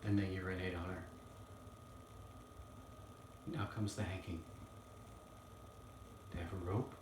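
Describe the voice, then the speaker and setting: A man playing an earnest young defense lawyer in a dramatic courtroom monologue, solemn and halting with weighty pauses. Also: deep voice, little pitch movement. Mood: grave, hushed. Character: earnest young male Southern defense lawyer pleading to jury. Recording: roomy, distant mic, faint noise.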